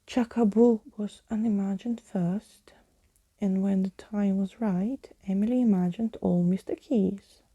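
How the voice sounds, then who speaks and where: A woman narrating a story in ASMR style, soft and breathy, often slipping into a whisper, close to the microphone. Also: mid-range voice, steady tone, measured pace, no room sound, muffled recording.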